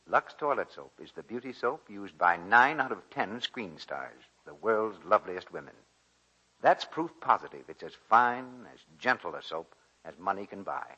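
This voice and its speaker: Low, forceful 1940s radio announcer man. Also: breathy edge. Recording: dull, muffled vintage radio recording.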